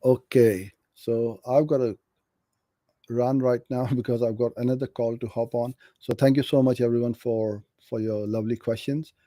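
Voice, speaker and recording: A man's voice: medium pitch, steady tone, moderate pace, mellow, dry recording, clean studio sound. A middle-aged man from Pakistan hosting a live question session, giving practical advice in a friendly, direct way. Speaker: host answering viewer questions. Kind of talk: live Q&A on online advertising.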